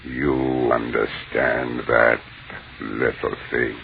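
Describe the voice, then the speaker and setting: A very deep, creaking alien overlord. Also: male voice, level tone, full character voice. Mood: hungry menace. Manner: slow, menacing, imperious delivery. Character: ancient, contemptuous, hungry male alien mind from space. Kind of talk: 1930s radio horror acting. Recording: tinny, noisy 1930s radio recording.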